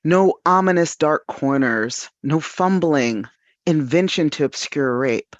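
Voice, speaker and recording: A woman reading poetry with deliberate, incantatory phrasing, on a slightly dull recording. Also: deep voice, animated, no room sound. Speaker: reader at a literary event.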